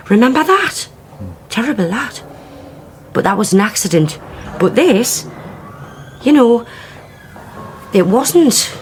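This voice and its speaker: A brash working-class witness in a radio play, her Teesside voice sitting fairly high and swinging up and down from line to line. She is blunt, coarse and quick to take offence. A faint hiss sits under her voice. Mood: excited. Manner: blunt, coarse, defensive, mouthy. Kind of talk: radio drama acting.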